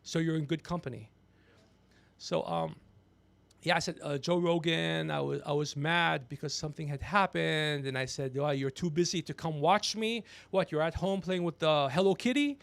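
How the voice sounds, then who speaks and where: Creaky-voiced, higher-pitched man ranting on a livestream. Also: normal speed, no echo. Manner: profane, blunt, teasing. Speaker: podcast livestream host. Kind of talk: rambling comedic monologue and banter.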